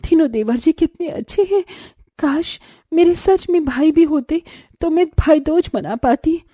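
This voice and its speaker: A woman voicing a gentle, sentimental younger sister-in-law in a cartoon, sweet and earnest. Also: sits high, a little slow, no room sound, studio quality. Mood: wistful, sad.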